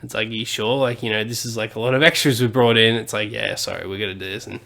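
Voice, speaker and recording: A man's voice: lowish voice, fairly even intonation, fast, gravelly, pushed and bright. Brief: man, podcast co-host, loose rambling delivery with casual swearing. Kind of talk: casual chat.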